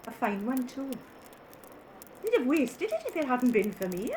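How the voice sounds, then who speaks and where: A callous working-class scavenger woman in an audio drama. Her voice is high and swoops with scorn and brazen relish. Faint noise lies behind her. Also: very animated, normal speed. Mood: brazen, scornful.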